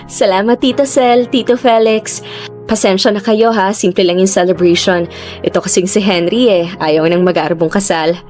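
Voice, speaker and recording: A woman acting as a young Filipina niece, hardworking and determined under a load of debt. Her voice sits at a middle pitch with a Filipino accent, in radio drama style over a music bed. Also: steady tone, normal speed, darkish tone, natural voice. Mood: cheerful.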